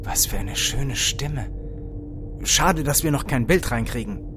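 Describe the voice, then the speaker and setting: An actor playing a dreamy, awestruck astronaut co-pilot in a radio play, with a lyrical, hushed delivery. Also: mid-range voice, expressive, unhurried, natural voice. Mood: moved, wistful. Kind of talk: radio play acting with poetic monologue. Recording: music behind the voice.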